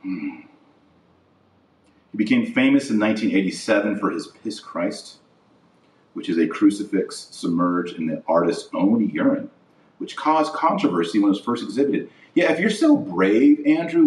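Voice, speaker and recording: A man's voice: on the low side, sing-song, normal speed, a little mellow. A man hosting an informal opinion podcast, speaking in a blunt, indignant way and repeating phrases for emphasis. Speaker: podcast host. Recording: roomy echo, distant from the mic.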